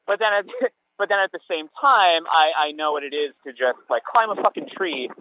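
A man's voice: on the high side, animated, normal speed, pushed and bright, dry recording. Man in his 30s, teacher on a phone call, wry, rambling, fillers everywhere, dry dull lo-fi line. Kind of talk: casual phone chat. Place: sheltered spot outdoors.

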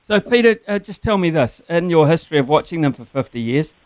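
A male radio host with a smooth, resonant voice, recorded close and dry. He asks long, meandering questions in an easy conversational way, with plenty of filler words. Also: on the high side, sing-song, a little fast, darkish tone, dry recording. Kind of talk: radio interview.

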